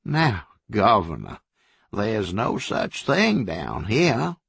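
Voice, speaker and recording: A man's voice: on the low side, wildly swooping pitch, extremely slow, vocal fry, a bit bright, slightly rough, no echo, voice pushed up, exaggerated voice. A man doing a smug Southern sheriff with a lazy drawl. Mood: smug patronizing. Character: smug patronizing small-county male sheriff.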